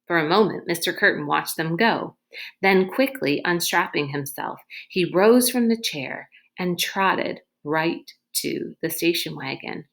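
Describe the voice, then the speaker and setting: A woman's voice: fairly low pitch, lively intonation, measured pace. A woman narrating a storybook aloud expressively, as a reader does.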